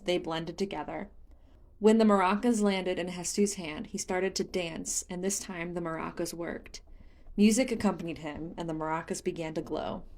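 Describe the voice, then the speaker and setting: A woman reading scripted story narration as the narrator of a fan fiction audiobook. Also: on the low side.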